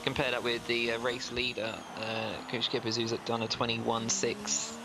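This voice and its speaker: A man's voice: mid-range voice, monotone, moderate pace, background noise. A man co-commentating an online race, reading out lap times and stats with many hesitant fillers. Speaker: statistics co-commentator. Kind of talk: sim racing commentary.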